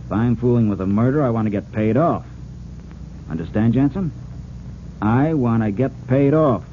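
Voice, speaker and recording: An actor playing a greedy small-time crook in an old-time radio drama, insinuating and pushy. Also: male voice, medium pitch, normal speed, lightly acted. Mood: greedy, pressing. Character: greedy small-time crook, sly man pressing for money. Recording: muffled narrow-band vintage radio recording, hiss.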